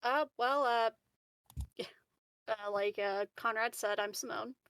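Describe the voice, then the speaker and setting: A woman's voice: a little high, slow pace, a bit bright, clear, resonant tone, no room sound. A woman playing a tabletop roleplaying game, chatting casually in character in a giggly, hesitant, rambling way. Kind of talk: casual in-character improvised chat.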